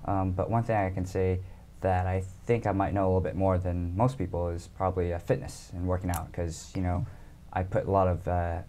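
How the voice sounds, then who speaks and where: Deep-voiced man chatting easily. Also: a little fast, slight background noise. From United States. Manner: relaxed, lots of ums and laughs. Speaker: interview guest, model. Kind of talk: casual interview chat.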